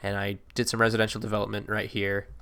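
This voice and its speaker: A man talks through his game in a smooth, fairly low voice that sounds a little stuffy from allergies. He rambles casually, correcting himself and filling pauses with "uh". Keyboard typing comes through faintly behind him. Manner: relaxed, rambling, many fillers and self-corrections. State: slightly congested from allergies. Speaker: gameplay video creator. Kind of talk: casual gameplay commentary.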